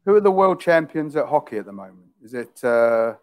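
A man's voice: medium pitch, big pitch swings, brisk, a little mellow, clean tone. A middle-aged man from England with a London accent, tutoring English over a video call in a chatty way, often repeating and rephrasing his questions. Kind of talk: casual video-call conversation. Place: small room indoors. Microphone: headset.

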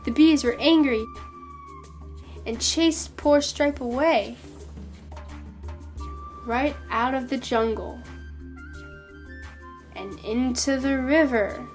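A woman reading a children's story expressively, with careful, measured phrasing. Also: sits high, soft background music. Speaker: volunteer storybook reader.

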